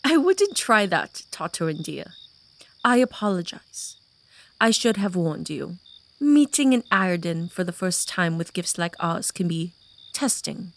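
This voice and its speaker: A woman's voice: lowish voice, very animated, measured pace. An actress playing a composed woman of rank who speaks with poised, polite authority in a fantasy audio drama. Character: composed noblewoman with mind powers.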